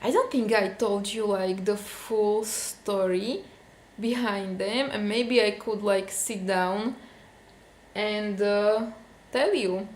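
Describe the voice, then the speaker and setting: A woman's voice: medium pitch, slow, darkish tone, echoey room. A young woman from Slovakia with a Slovak accent, venting casually to camera in a vlog, with many fillers. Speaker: vlogger.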